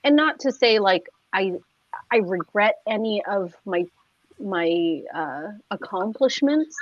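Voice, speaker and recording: A woman's voice: medium pitch, lively intonation, takes it slowly, dry recording. Woman, interview guest; loose, filler-heavy chat over a dull, dry remote line. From United States. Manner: chatty, full of "like" fillers and restarts. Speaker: guest, clinical social worker. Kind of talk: casual conversational interview. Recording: dry, slightly muffled lo-fi audio.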